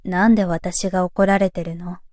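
A woman's voice: fairly low pitch, steady tone, normal speed, darkish tone, no room sound, lightly acted. A woman playing a grumbling young shrine maiden in an anime-style fan voice drama, with dry, exasperated retorts. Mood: puzzled, annoyed.

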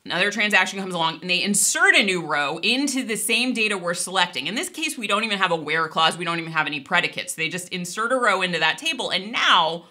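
A woman in her forties explaining a technical topic in a lively, conversational video lesson, gesturing as she talks. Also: fairly low pitch, fast, few pauses. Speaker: database instructor.

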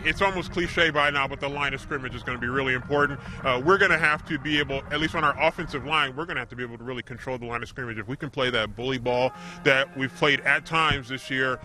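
A man's voice: medium pitch, fast talker, few pauses, over music, rough recording. A middle-aged man giving conversational football analysis as a co-commentator, speaking outdoors into a shared handheld microphone. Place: outdoors in an open football stadium.

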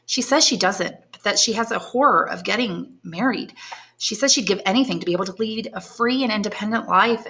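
A woman's voice: mid-range voice, gravelly, pushed and bright, voice pushed up. A woman reading aloud and voicing an anxious young woman in love, earnest and pleading. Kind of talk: audiobook character voice.

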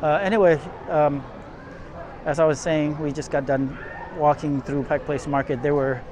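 A man's voice: fairly high pitch, steady tone, normal speed. A man narrating a casual walking tour outdoors on city streets, rambling along with many fillers and restarts while he walks and films. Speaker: vlogger filming a walking tour. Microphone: camera held while walking, speaker off screen. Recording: outdoor street with crowd noise. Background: crowd chatter and passing traffic.

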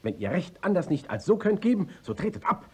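An actor in a 1950s radio play voicing a stern, formal visiting court inspector, husky, reproving and used to command. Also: male voice, high voice, big pitch swings, fairly quick, a little mellow, exaggerated voice.

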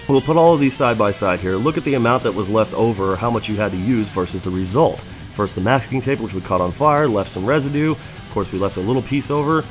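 Chatty man demoing in a shop. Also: fairly low pitch. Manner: upbeat and chatty, with filler "uh"s. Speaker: fabrication show host. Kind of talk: casual how-to demonstration. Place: metal fabrication shop, indoors.

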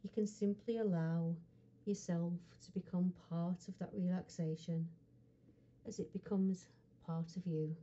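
A woman hypnotherapist guiding a relaxation session in a soothing, unhurried manner, pausing often between short phrases. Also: lowish voice, fairly even intonation, mellow, dull audio. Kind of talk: guided hypnosis relaxation script.

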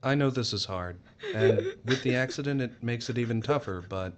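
A man playing a gentle, formal police officer in a horror audio drama, careful and sympathetic. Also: mid-range voice, moderate pace, a little hiss or hum, lightly acted. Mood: solemn.

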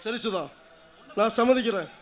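A man's voice: high voice, moderate pace, lightly acted. A man playing a tender, devoted husband, speaking earnestly and coaxingly in a melodrama. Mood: coaxing, resigned. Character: tender, devoted husband consoling his wife. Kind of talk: melodramatic Tamil drama acting.

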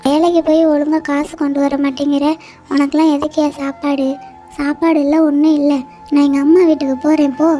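A woman's voice: high-pitched, flat delivery, a little fast, close to an everyday voice. A woman voicing a greedy, nagging female crow wife in a cartoon, scolding and bossy. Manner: scolding, bossy, sharp-tongued. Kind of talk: cartoon voice acting. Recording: light music bed.

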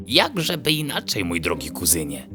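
A man's voice: sits low, wildly swooping pitch, normal speed, over music. A man voicing a kind, cheerful, resolute male fox who reassures his cousin in a children's bedtime story.

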